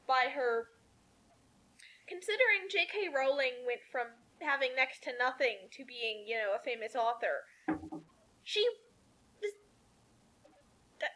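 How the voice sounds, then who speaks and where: A woman's voice: high voice, bright, forceful tone, poor audio. A woman giving casual, critical commentary on a story, with an incredulous, sarcastic manner.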